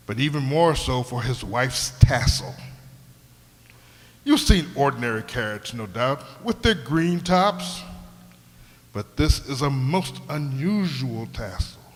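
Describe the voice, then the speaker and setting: An actor playing a haughty, chatty talking griffin in a comic radio play, with a pompous, airy, fussy manner. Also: male voice, fairly high pitch, fairly bright tone, exaggerated voice. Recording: echoey hall with long reverb.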